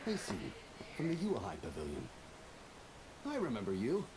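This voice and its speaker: A man's voice: medium pitch, wildly swooping pitch, moderate pace, darkish tone, slightly rough, exaggerated voice. An actor playing a defensive, flustered male merchant in an anime game, indignant and prone to stammering. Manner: indignant, stammering under pressure.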